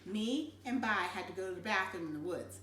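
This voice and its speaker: A woman reading a children's novel aloud in an animated, dramatic storytelling manner. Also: medium pitch, fairly quick. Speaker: read-aloud narrator. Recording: roomy, distant mic, faint noise.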